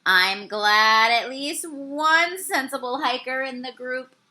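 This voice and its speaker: A woman's voice: high voice, slow pace, pushed and bright, heavily acted. A woman voicing a bossy, wry but patient beagle scout leader in a children's storybook. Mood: instructive. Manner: instructing, exclaiming, sometimes sarcastic. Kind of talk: children's storybook read-aloud.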